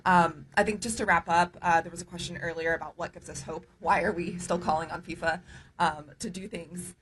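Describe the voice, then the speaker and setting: A woman human rights advocate making a measured press conference statement, pausing often on "um". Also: lowish voice, fairly even intonation, fairly bright tone, a little hiss or hum, low-quality recording. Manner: measured, many "um" pauses. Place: news conference room.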